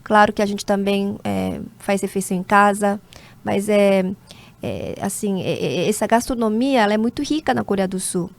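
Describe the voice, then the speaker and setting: Korean-born woman in her forties, lawyer, interview guest, measured and hesitant delivery. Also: medium pitch, fairly even intonation, creaky. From South Korea. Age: 40s. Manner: measured, with frequent hesitation fillers. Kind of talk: conversational interview.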